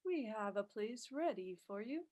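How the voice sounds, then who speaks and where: An eerie, beckoning vampire from a horror comic, voiced by a woman. The voice is high and swoops in pitch, with theatrical coaxing. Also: animated, normal speed, a little mellow. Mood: coaxing, sinister. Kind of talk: dramatic comic book reading.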